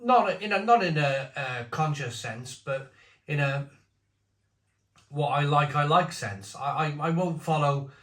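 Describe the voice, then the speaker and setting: A man's voice: on the high side, a little slow, fairly bright tone. A man in his forties giving a casual, opinionated beer review, talking bluntly in rambling asides. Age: 40s. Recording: roomy echo.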